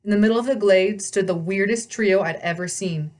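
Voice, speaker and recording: Female storyteller, medium-pitched, expressive first-person storybook narration. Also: fairly quick. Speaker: read-aloud storyteller.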